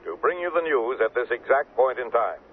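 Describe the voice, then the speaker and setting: A formal, husky newscaster reads the headlines within an old radio drama. He sounds as if he's coming out of a radio set in the room: thin, tinny and boxed in. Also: medium pitch, sing-song, brisk. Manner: formal news reading.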